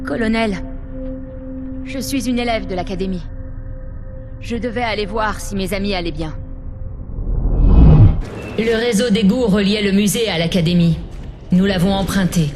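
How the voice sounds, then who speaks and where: A dutiful young female army cadet from a video game, acted by a woman, with a medium-pitched voice. She sounds earnest and formal, with clear music behind her. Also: normal speed, pauses often, lightly acted. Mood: composed, narrating. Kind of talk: French-dubbed video game acting. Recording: music and engine rumble behind.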